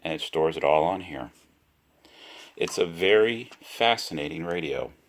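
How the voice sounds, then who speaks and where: A man giving a casual, unscripted product demonstration, speaking deliberately and repeating himself for emphasis. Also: low-pitched, animated. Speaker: product reviewer.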